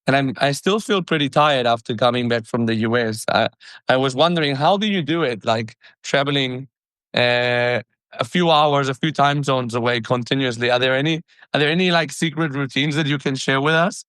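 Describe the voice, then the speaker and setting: A man's voice: mid-range voice, moderate pace, fairly bright tone, no room sound. Guy hosting a podcast, chatty, trips over his words a bit, dry close mic. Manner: chatty, friendly, stumbling restarts. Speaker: podcast host. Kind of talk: casual podcast interview.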